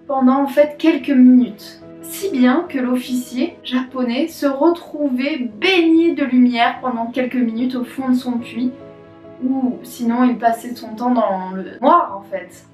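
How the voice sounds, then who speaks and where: A woman who works as a clinical psychologist, telling a story gently and thoughtfully with many fillers as she hosts her own video. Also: fairly high pitch, echoey room, far from the mic. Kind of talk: storytelling to camera.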